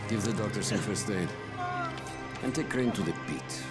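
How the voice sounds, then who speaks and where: An actor playing a cold, sadistic warlord in a video game, speaking with measured, mocking menace and grand phrasing. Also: male voice, on the low side, loud music behind the voice, close to an everyday voice. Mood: cold, commanding. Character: cold, sadistic, theatrical male warlord villain who philosophizes about chaos. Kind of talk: video game cutscene acting.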